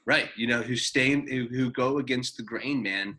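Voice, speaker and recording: A man's voice: medium pitch, moderate pace, pushed and bright. A man in his late twenties co-hosting a podcast, rambling casually with frequent swearing and fillers. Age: 20s. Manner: rambling, profane, lots of fillers. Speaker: podcast co-host, gym owner. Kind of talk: casual chat.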